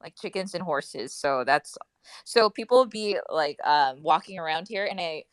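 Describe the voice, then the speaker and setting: A woman's voice: sits low, expressive, a little slow, smooth, no echo. A woman from California co-hosting a casual live audio chat, chatty and full of "like", often laughing. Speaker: co-host.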